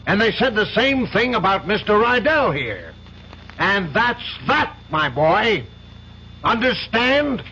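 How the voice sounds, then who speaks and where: An actor playing a stern, domineering older law-firm boss with an American accent, scolding with authority in 1970s radio drama style. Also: male voice, sits high, sing-song, takes it slowly, bright, forceful tone, exaggerated voice. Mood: angry, commanding. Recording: dull, noisy old radio broadcast.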